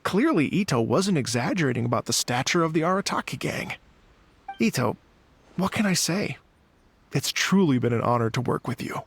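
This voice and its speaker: A man playing a courteous, earnest admirer in a video game, formal and appreciative with a husky voice. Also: fairly high pitch, big pitch swings, normal speed, heavily acted. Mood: admiring.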